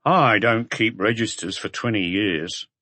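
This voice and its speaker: A man's voice: on the low side, a little slow, bright, forceful tone, dry recording. A man voicing a tired, slovenly hotel owner with blunt, curt answers, in an audiobook. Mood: gruff, weary. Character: unshaven, tired, gruff male hotel owner.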